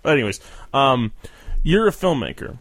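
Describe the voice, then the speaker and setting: A man's voice: mid-range voice, very animated, moderate pace, a bit bright. A man in his twenties hosting a casual conversational interview, bantering and poking fun at himself as he interjects. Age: 20s. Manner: bantering, self-deprecating, interjecting. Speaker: podcast host.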